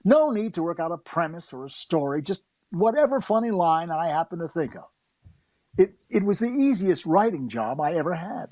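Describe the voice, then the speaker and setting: A man's voice: fairly high pitch, big pitch swings, normal speed, a little mellow, dry recording. An actor playing a wry comedy writer, narrating his memories conversationally in a scripted radio play. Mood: wry, reminiscing.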